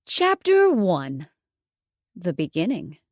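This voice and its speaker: A woman's voice: fairly low pitch, wildly swooping pitch, takes it slowly, no room sound, clean studio sound. A woman reading out audiobook titles as a theatrical announcer. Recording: music behind the voice. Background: music.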